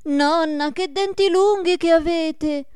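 A woman's voice: very high-pitched, takes it slowly, darkish tone, no echo, clean studio sound, voice pushed up. A woman voicing a naive, sweet little village girl in a storybook, innocent and wide-eyed. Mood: wide-eyed wonder.

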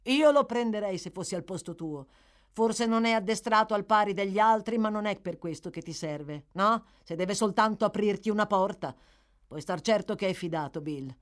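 A woman's voice: medium pitch, huge pitch swings, normal speed, hardly any pauses, pitched above the performer's own voice. A woman voicing a bold, defiant young woman of a thieves' gang in an audiobook, brash and confident. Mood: urging, confident.

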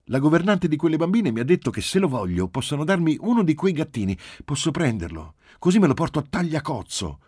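A man's voice: a little high, sing-song, a little fast, hardly any pauses, a little mellow, husky, no room sound, studio quality, pitched above the performer's own voice. A man reading a story, voicing a willful, pouty little girl who talks with insistent, self-important emphasis. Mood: eager. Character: small girl, willful, pouty, bossy know-it-all.

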